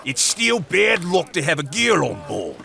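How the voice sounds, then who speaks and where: Gravelly, loud pirate crewman. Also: a little high, huge pitch swings, measured pace, soft background music, exaggerated voice. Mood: grumbling, indignant. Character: loud, superstitious, leering male pirate crewman.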